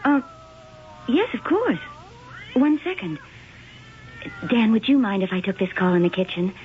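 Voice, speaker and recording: A woman's voice: fairly high pitch, very animated, measured pace. An actress playing a friendly, hospitable young woman in 1970s radio acting. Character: polite young woman hosting a detective. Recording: lo-fi old radio broadcast with loud hiss.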